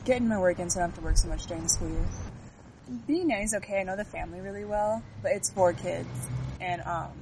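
A woman's voice: on the low side, quick pace. A young woman from Minnesota, a student, answering casually and trailing off with "so". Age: young adult. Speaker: student interviewee. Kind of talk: casual field interview answers. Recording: traffic noise behind.

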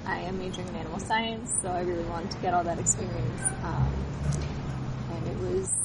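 A woman's voice: lowish voice, steady tone, fairly quick. A young woman from Illinois, a student, answering interview questions in a chatty, upbeat way. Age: young adult. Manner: chatty, laughs often. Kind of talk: casual field interview answers. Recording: loud traffic noise behind.

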